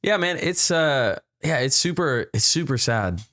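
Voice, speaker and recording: A man's voice: fairly high pitch, expressive, a little slow, a bit bright, no room sound, clean studio sound. A man in his twenties, a podcast guest chatting casually and rambling with lots of fillers and restarts. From United States. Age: 20s. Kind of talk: casual chat.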